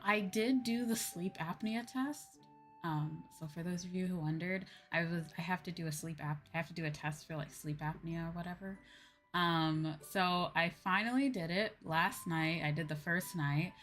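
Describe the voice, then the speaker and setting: A woman's voice: on the low side, a bit bright. A woman hosting a livestream, chatting casually in an informal, rambling way. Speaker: streamer, VTuber host. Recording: music playing behind the voice. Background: music.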